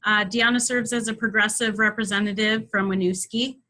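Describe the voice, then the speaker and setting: A woman moderating an online town hall, reading a smooth scripted introduction of the next guest. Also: medium pitch, fairly even intonation, fairly quick, bright, forceful tone.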